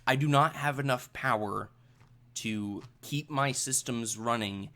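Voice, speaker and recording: A museum's curator machine acted out by a game master speaks in a middle-pitched voice. It is formal and matter-of-fact, avoiding contractions, in a tabletop sci-fi game. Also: unhurried. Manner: flat, formal statements without contractions.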